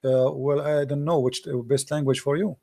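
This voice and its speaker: A man's voice: medium pitch, steady tone, moderate pace, creaky, warm tone. A man hosting a call-in show, earnestly advising a caller in slightly non-native English. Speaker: host taking calls.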